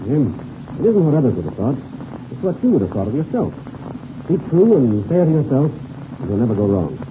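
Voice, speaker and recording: An actor playing a veteran pilot, a steady fatherly mentor, earnest and preachy in 1930s radio serial style. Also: medium pitch, brisk, close to an everyday voice. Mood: earnest moralizing. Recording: noisy muffled old radio recording.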